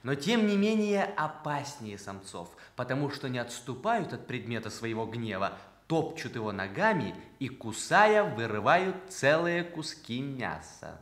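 A man's voice: mid-range voice, very animated, unhurried, few pauses, fairly bright tone. An actor playing a mischievous young son in a Soviet radio play, declaiming like a pompous scholar, with an echo on his voice. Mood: mock-pompous. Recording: echoing, roomy voice.

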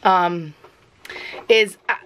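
A woman's voice: fairly low pitch, drawn-out words, muffled recording, slight background noise. A woman in her thirties chatting casually into a handheld camera in a cluttered bedroom at home, rambling and poking fun at herself. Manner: chatty, rambling, self-deprecating. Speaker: vlogger, teacher. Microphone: handheld camera at arm's length.

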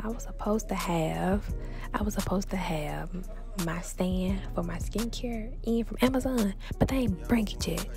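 A woman's voice: medium pitch, creaky. A young woman narrating her cleaning vlog in a chatty, slangy voice-over, sounding tired, with many half-whispered asides to her viewers. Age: young adult. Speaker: lifestyle vlogger.

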